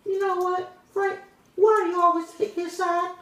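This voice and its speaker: Woman playing a very high-pitched, hot-tempered young woman. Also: little pitch movement, moderate pace, a bit bright. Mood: hurt, angry. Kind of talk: amateur puppet show skit. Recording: roomy, echoey amateur indoor recording.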